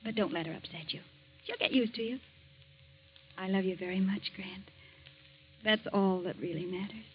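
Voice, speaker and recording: An actress playing a loving young college woman who gently coaxes her aunt, in 1940s radio acting style. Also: medium pitch, moderate pace, a little hiss or hum, lightly acted. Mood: tender. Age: young adult. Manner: gentle, coaxing, earnest. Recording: lo-fi old radio recording.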